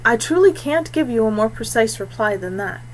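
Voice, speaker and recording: A woman voicing a calm, patient young forest-born woman in a storybook, speaking with quiet assurance. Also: a little high, normal speed, slight background noise, natural voice, poor audio. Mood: patient, practical.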